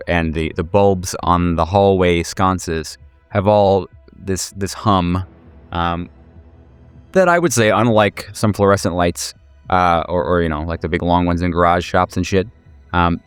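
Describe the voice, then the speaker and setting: A man working as game master, improvising horror narration in a halting, conversational way that circles back over words. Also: low voice, smooth, no echo. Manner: halting, searching delivery with repeated words.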